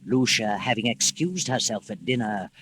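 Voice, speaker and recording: A man narrating as host of a 1940s radio thriller, in a measured, ominous, theatrical manner. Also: mid-range voice, lively intonation, crowd noise, rough recording. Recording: old 1940s radio recording. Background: dramatic music.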